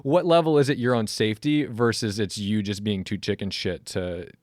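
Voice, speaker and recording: A man hosting a podcast interview, talking earnestly in a casual, animated way and acting out other people's remarks. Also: fairly low pitch, moderate pace, no room sound, studio quality. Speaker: podcast host.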